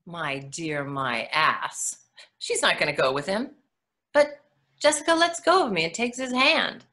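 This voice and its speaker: A woman playing a wry, blunt, protective mother in a staged monologue, delivered dramatically with emphatic pauses. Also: lowish voice, lively intonation, pushed and bright. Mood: indignant.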